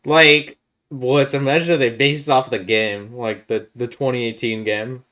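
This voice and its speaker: A man's voice: mid-range voice, steady tone, moderate pace, pushed and bright. Informal, slangy man in casual podcast chat. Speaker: podcast host.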